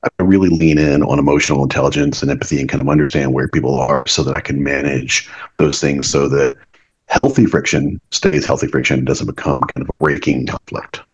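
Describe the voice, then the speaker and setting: A man's voice: a bass voice, fairly even intonation, a little fast, slightly rough, muffled recording. A middle-aged man, a business leader, talking earnestly as a podcast guest and often restarting his words. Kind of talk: reflective podcast conversation.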